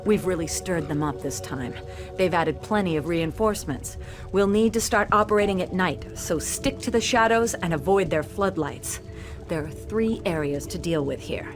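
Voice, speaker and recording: An actress plays a female resistance leader in a video game. Her voice is fairly low, and she speaks with earnest, controlled purpose. Music plays clearly behind her. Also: lightly acted. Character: steady, serious female resistance leader briefing fighters. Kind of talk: video game mission briefing.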